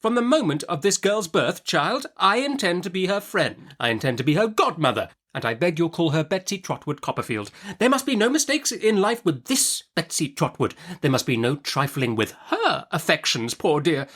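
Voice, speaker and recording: Bossy brusque old aunt, storybook style, high voice, very emphatic and all over the place. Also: male voice, very animated, moderate pace, hardly any pauses, fairly bright tone. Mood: stern, insistent. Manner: emphatic, commanding, abrupt. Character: imperious, brusque older great-aunt, indignant and domineering. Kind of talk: classic novel audiobook character voice.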